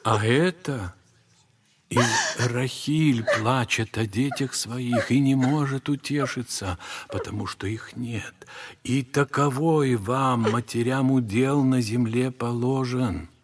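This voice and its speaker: An actor playing an old monastery elder in an audio drama, solemn and consoling like a preacher, with a husky voice. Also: male voice, medium pitch, takes it slowly.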